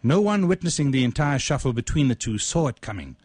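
Man giving a deliberate dramatic story reading. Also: mid-range voice, big pitch swings, darkish tone, husky, no room sound. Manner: ornate, deliberate, measured phrasing. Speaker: writer reading own short story.

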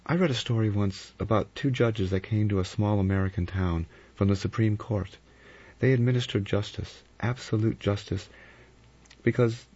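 A man's voice: on the low side, moderate pace, a little mellow, breathy edge, lo-fi sound, voice pushed up. A man voicing an anxious young woman in an audiobook, with a pleading, urgent manner. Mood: uneasy. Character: anxious young Englishwoman, frightened and insistent. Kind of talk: audiobook character acting.

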